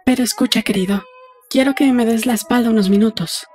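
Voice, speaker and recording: A woman's voice: on the high side, fairly quick, darkish tone, faint music behind, natural voice. A woman playing a playful, defiant young woman in love in a dramatised audiobook, speaking with intimate assurance. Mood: coaxing.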